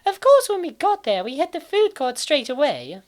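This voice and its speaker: A woman's voice: sits high, very animated, normal speed. A woman playing a naive young college woman in a comic dub, narrating and exclaiming theatrically. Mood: reflective. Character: cheerful naive college woman narrating her weight gain.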